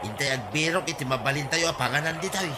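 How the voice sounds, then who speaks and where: An actor playing an eager, plain-spoken villager with an Ilocano accent in a radio comedy drama. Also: male voice, fairly high pitch, lively intonation, fast, noisy background, natural voice.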